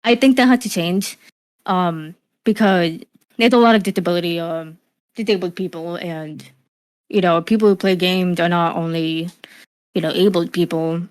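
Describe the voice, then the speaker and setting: A young woman with a slightly low voice speaks in a loose, unhurried way in an interview. A speech impediment from her hearing loss gives her words a distinctive shape. She often restarts her sentences. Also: steady tone. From Cuban, living in the USA. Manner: frequent fillers and restarts, laughs easily. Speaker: streamer, interview guest. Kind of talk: casual interview answers.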